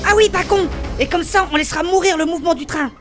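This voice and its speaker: A woman's voice: very high-pitched, quick pace. A woman playing a frantic, impatient, foul-mouthed adventurer in a French comedic fantasy audio drama. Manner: exclamatory, urgent, swearing. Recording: loud music and train noise behind.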